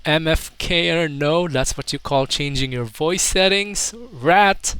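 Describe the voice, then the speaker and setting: A man's voice: fairly high pitch, takes it slowly, fairly bright tone, clear, resonant tone, dry recording. A young adult man casually reading out internet comments as a commentator, with mocking, incredulous, slangy asides. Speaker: video commentator.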